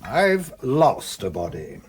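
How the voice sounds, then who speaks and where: An actor playing an earnest, methodical police detective with an English accent, reporting facts briskly in a radio drama. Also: male voice, mid-range voice, huge pitch swings, slight background noise. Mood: urgent, matter-of-fact. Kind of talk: BBC radio drama acting.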